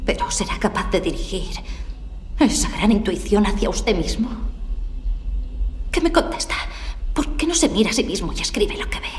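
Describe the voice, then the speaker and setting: A woman's voice: mid-range voice, big pitch swings, moderate pace, pauses often, soft background music, lightly acted. An actress dubbing a young female FBI trainee in a film scene, firm and questioning. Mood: defiant.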